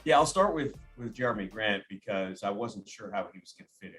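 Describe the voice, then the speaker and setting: A man who works as a sports announcer, giving conversational analysis as a podcast guest in a measured, explanatory way. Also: lowish voice, animated, a bit bright, soft background music.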